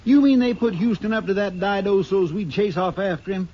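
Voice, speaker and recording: An actor playing a folksy, earnest, deferential deputy sidekick with a rural American drawl in a 1950s radio western. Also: male voice, sits high, a little fast, close to an everyday voice. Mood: earnest, puzzled. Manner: folksy, plain-spoken, deferential. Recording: muffled, noisy 1950s radio recording.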